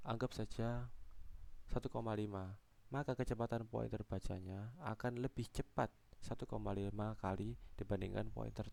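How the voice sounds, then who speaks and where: A young adult man giving an explanatory student presentation, halting and going step by step. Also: lowish voice, vocal fry, warm tone.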